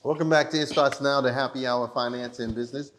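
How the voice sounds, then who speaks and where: A man hosting a casual interview show, relaxed, with short acknowledgements. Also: mid-range voice, quick pace, a little hiss or hum. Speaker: host.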